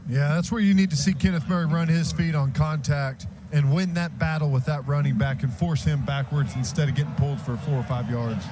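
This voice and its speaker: A man's voice: a little high, steady tone, brisk, hardly any pauses, background noise. An American man giving conversational, explanatory football analysis as a radio color commentator. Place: stadium radio booth. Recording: live radio broadcast, loud stadium sound behind.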